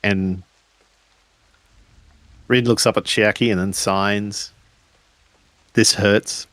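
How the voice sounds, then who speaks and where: A man with a fairly low voice describes his character's actions in a tabletop game. He goes slowly, pausing between phrases, and the recording is dry. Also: fairly even intonation, fairly bright tone, no room sound.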